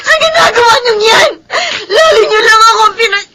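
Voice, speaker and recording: A woman's voice: extremely high pitch, normal speed, heavy background noise. A woman playing a distraught, resentful teenage daughter in a radio melodrama, crying out her protests. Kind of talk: melodramatic radio drama acting. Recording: loud music over the voice.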